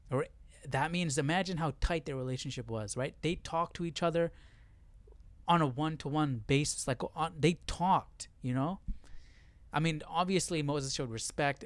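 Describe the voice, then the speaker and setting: A man's voice: on the high side, no room sound. A man hosting a Bible study, talking casually through the text and often checking in with tag questions. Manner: conversational, seeks agreement with tag questions. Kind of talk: casual Bible study commentary with verse reading.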